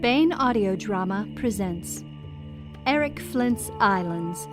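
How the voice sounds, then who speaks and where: A woman's voice: mid-range voice, expressive, takes it slowly. A woman playing the formal title announcer of an audio drama. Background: music.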